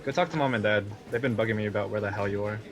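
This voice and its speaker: A man's voice: fairly low pitch, rapid-fire, crowd noise, close to an everyday voice, rough recording. A young man playing a sarcastic brother in an amateur online drama, with a scoffing manner. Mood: teasing.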